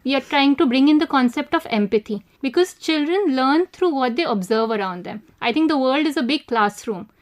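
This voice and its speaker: A woman talks as a guest on a discussion panel. Her voice is pitched high, and she speaks in an explanatory style. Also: expressive, a little fast, few pauses. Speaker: panel guest, animal-care education manager.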